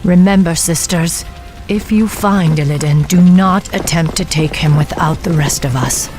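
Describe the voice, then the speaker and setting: A woman's voice: fairly low pitch, a little slow, over music, close to an everyday voice. A woman voicing a stern, vengeful night elf warden who commands with grave resolve in a video game cinematic.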